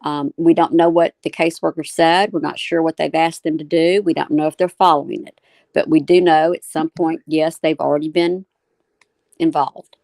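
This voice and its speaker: Low-voiced woman explaining things point by point. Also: steady tone, moderate pace, creaky, a little mellow, no room sound. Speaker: talk show host. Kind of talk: explanatory live commentary answering viewer comments.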